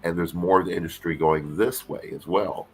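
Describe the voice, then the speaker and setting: A middle-aged man, an actor guest with a deep voice, talking casually in an interview with lots of fillers and restarts. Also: moderate pace, slightly rough, soft background music. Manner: relaxed, rambling, many fillers and restarts.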